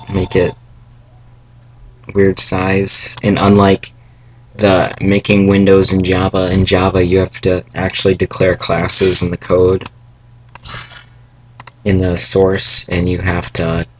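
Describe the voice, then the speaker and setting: Low, flat-voiced young man, a little raspy. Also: low-quality recording. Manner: rambling, halting, with fillers and asides. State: slightly raspy from salty food. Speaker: tutorial maker. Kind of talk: casual screen-recorded coding tutorial. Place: home room, family noise around. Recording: faint background noise, keyboard and mouse clicks.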